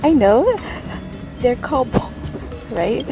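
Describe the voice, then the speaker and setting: Woman game streamer, animated pitch, very slow pace, casual short excited reactions, music behind. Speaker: streamer playing a story game.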